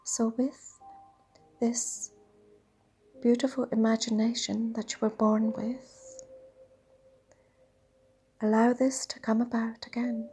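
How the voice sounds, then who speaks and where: A woman leading a guided meditation in a dreamy, soothing, half-whispered voice with drawn-out pauses. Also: on the high side, level tone. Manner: breathy, half-whispered delivery. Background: soft sound bath music.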